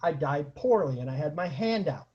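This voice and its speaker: Male storyteller, high-pitched, casual and comic, acts out dialogue and noises, dull lo-fi recording. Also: expressive, normal speed, darkish tone, breathy edge. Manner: acts out quotes and sound effects, bursts into exclamations. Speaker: storyteller and host of an online story series.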